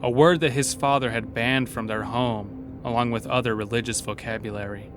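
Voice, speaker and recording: A man narrating a scripted horror story as the narrator of an audio drama. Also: mid-range voice.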